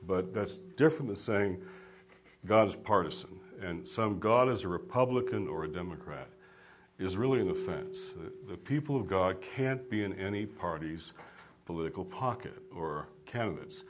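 A man's voice: low-pitched, animated, normal speed. A man speaking as a guest author on a discussion panel, earnest, with a preacherly cadence and a habit of telling jokes.

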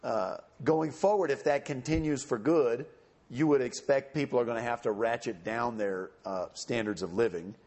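A man on a panel answering audience questions in a measured, explanatory way. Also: medium pitch, darkish tone. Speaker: panelist speaking for a campaign.

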